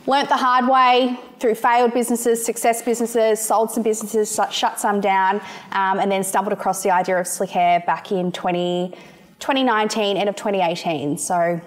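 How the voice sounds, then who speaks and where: A woman's voice: mid-range voice, fairly even intonation, normal speed. Casting: young Australian businesswoman, self-assured, musing, loose conversational delivery. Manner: confident, reflective, many ums and "you know". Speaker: entrepreneur guest. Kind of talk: interview answers.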